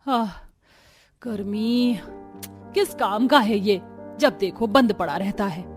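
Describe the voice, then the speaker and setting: A woman voicing earnest, plaintive village housewives and mothers-in-law in a cartoon story, with an expressive, emphatic delivery. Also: mid-range voice, slow pace, soft background music, natural voice. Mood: weary, complaining.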